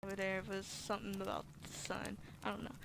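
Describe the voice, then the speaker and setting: A woman's voice: medium pitch, completely flat delivery, a little fast, vocal fry, background noise. A woman doing casual game playthrough commentary, speaking colloquially, reacting and reading aloud as she plays. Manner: colloquial, talks back to the game. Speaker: gaming streamer playing through a horror game.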